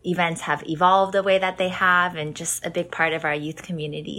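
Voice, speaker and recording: Soft-spoken woman hosting a podcast. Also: fairly low pitch. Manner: warm scripted intro, then chatty with fillers. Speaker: podcast host. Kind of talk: podcast interview.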